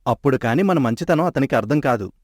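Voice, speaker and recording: A man's voice: medium pitch, animated, fast, no room sound, close to an everyday voice. Man voicing a mocking crony, sarcastic and pushy, close dry sound.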